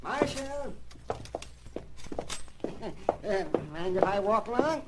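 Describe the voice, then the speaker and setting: High, animated, morbid frontier doctor. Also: male voice, slow pace. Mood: gleeful, chatty. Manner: chuckling, garrulous, folksy. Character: gleefully morbid, folksy frontier town doctor. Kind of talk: old-time radio western drama acting. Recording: noisy old radio drama recording.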